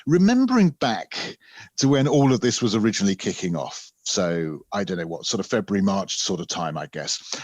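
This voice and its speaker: Low-voiced formal male podcast host. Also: no room sound. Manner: measured, formal and polite. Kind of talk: structured interview hosting.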